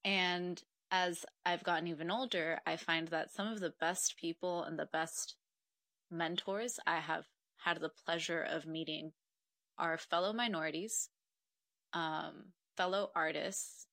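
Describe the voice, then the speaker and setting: A woman's voice: fairly low pitch, fairly even intonation, unhurried, fairly bright tone, dry recording, studio quality. A woman in her twenties from Mexico, a podcast guest talking earnestly and reflectively, with frequent ums. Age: 20s. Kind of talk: casual interview conversation.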